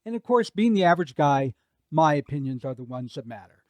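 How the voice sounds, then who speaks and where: Male podcast co-host, everyman; wry, relaxed, a touch high, dry studio sound. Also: fairly quick, dry recording. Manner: wry, self-deprecating, relaxed storytelling. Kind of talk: casual podcast chat.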